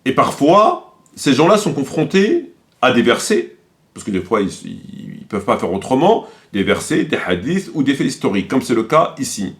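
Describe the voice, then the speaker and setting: A man's voice: medium pitch, sing-song, normal speed. A man speaking off the cuff in a didactic, polemical way, often repeating words as he searches for the next one. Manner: polemical, didactic, step-by-step explaining. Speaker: commentator. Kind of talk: unscripted religious-political commentary.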